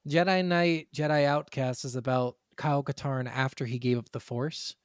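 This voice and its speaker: A man's voice: medium pitch, steady tone, normal speed, smooth, no room sound. A man with a mid-range voice talks casually as a podcast co-host about games. He rambles through explanations and swears freely. The sound is dry and close.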